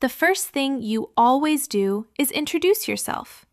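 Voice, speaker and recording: A young woman from California co-hosting an English-learning podcast, speaking clearly like a teacher and laughing often. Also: high-pitched, lively intonation, unhurried, no echo, clean studio sound. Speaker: podcast co-host.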